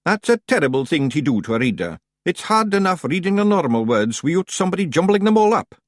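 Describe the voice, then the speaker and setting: A man's voice: on the high side, sing-song, fast, no echo, studio quality, pitched above the performer's own voice. A man voicing a brash, blustering small male fairy clan chief with a Scottish accent, in an audiobook. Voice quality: boastful, blustering.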